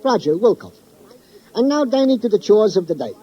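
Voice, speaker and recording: An actor playing an earnest, pompously wordy police sergeant with florid, over-formal phrasing in a 1950s radio drama. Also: male voice, high voice, sing-song, brisk. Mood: officious. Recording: dull old radio recording.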